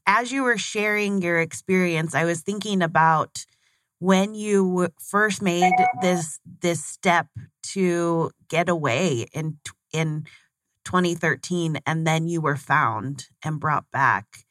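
Low-voiced earnest woman host. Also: takes it slowly, fairly bright tone, no echo. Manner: earnest, thoughtful, encouraging. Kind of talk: interview conversation.

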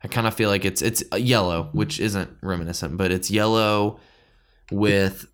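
Guy with a lowish voice hosting a casual beer podcast, chatty and into it. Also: steady tone, moderate pace, a bit bright, clean tone. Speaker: podcast co-host.

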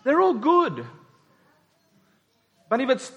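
A man's voice: very high-pitched, huge pitch swings, slow pace, muffled recording. A man preaching a conversational sermon in an informal way, acting out dialogue in everyday words. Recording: long hall reverb.

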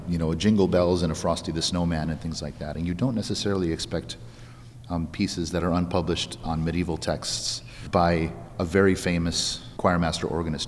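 Male interviewee, music director type: low voice, thoughtful, explanatory, conversational. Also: big-room sound, slight background noise. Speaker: music director of an a cappella group being interviewed.

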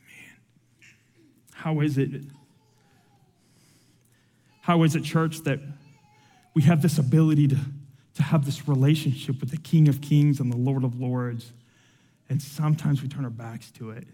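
A man's voice: medium pitch, fairly even intonation, a little slow, pauses often, dark tone, big-room sound. Earnest, emotional man preaching. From Cuba. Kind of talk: sermon with personal testimony.

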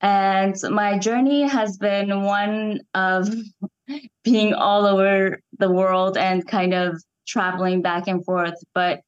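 Young woman guest, excited and thoughtful, mid pitch, kinda dull lo-fi audio. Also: takes it slowly, clean tone. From Iran, raised in Canada. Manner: enthusiastic, thoughtful, searching for words. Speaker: interview guest, actress. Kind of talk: reflective interview conversation.

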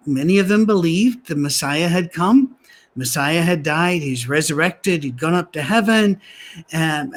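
A man with a soft, gentle voice teaching from the Bible. His pitch sits a little high for a man, and his delivery is conversational, slipping into dry, self-deprecating humor. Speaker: preacher. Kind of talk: sermon-style Bible teaching.

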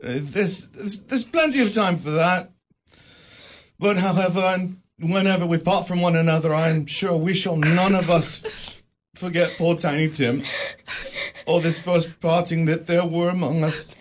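An actor playing a gentle poor clerk and grieving father in a radio drama, soft and halting. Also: fairly high pitch, slight background noise, lightly acted.

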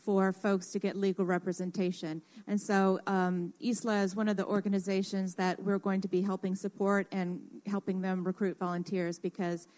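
A woman with a medium-pitched voice speaks as a panelist in an informal radio discussion. She is earnest and explanatory, pausing and picking her way through her points. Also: little pitch movement, darkish tone. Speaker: radio panelist who works in construction.

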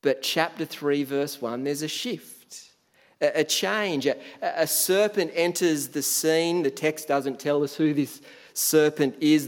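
A man's voice: a little high, long reverb tail. A man from Australia, preaching a sermon in a conversational way, with frequent fillers and restarts.

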